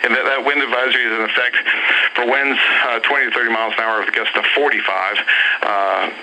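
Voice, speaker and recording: A man's voice: medium pitch, fairly even intonation. Man announcing weather warnings over very noisy radio. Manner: halting, repeats county lists and times. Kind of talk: live severe weather warning broadcast, partly improvised.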